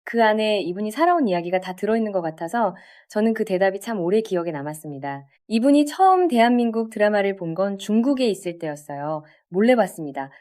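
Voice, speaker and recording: A woman narrator tells a scripted story with a lively, animated pitch. She keeps it conversational and speaks straight to the listener, as a storyteller would. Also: fast, hardly any pauses. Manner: conversational, addresses listeners with rhetorical questions.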